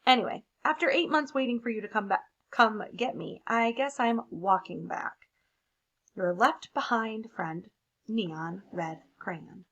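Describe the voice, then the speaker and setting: A woman's voice: medium pitch, measured pace, fairly bright tone, lo-fi sound. A woman voicing a dramatic, clueless crayon in an exclamatory, emphatic storybook read-aloud. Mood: indignant, hurt. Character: dramatic, clueless, left-behind neon red crayon. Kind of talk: expressive picture-book read-aloud.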